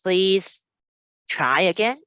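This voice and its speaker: A woman's voice: medium pitch, expressive, very slow, a bit bright, clean tone, dry recording, highs cut off. A woman hosting an online admissions webinar as a casual chat, upbeat and chatty as she reads out audience comments. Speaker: admissions host. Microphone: video call.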